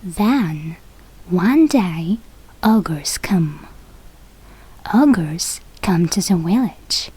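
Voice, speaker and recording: Female storybook reader, non-native English speaker. Soft-edged husky tone, extremely unhurried, careful delivery with expressive pitch movement. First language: not English. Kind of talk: slow read-aloud of a children's fairy tale.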